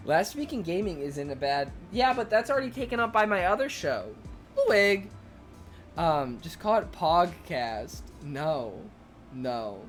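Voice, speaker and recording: A young man streaming, chatting casually and excitably with viewers, rambling with lots of fillers. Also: high voice, slow, soft background music. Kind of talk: casual chat reading viewer comments.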